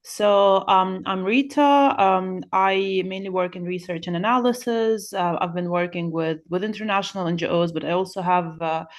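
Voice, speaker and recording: A woman in her thirties introducing herself on a panel over a video call. She speaks haltingly and restarts her sentences often. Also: mid-range voice. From Ukraine. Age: 30s. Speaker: panelist, researcher.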